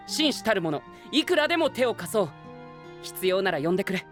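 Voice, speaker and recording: Casting: gentlemanly young knight, formal and courteous, melodic anime drama delivery over music. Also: male voice, sits high, big pitch swings, fairly quick.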